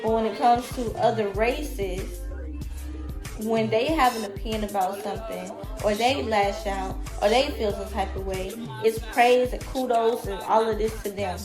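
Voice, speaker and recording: A woman who is a little sick and sounds raspy, giving blunt, emphatic opinions about a reality show straight to her viewers. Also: a little high, moderate pace. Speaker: reality TV commentator. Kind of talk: opinionated vlog commentary. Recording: echoey room with loud music.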